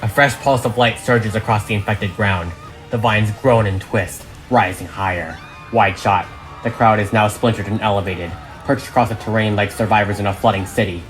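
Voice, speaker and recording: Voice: sits low, poor audio. A narrator reading an audio drama script aloud, stage directions included, in a dramatic way. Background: music.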